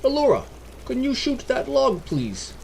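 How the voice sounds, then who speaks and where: A man's voice: very high voice, huge pitch swings, unhurried, gravelly, a little mellow, slight background noise, voice pushed up, heavily acted. A man voicing an earnest, self-righteous paladin in a fantasy story, with clipped phrasing that drops small words. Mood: cautious. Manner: clipped foreign-style speech dropping articles.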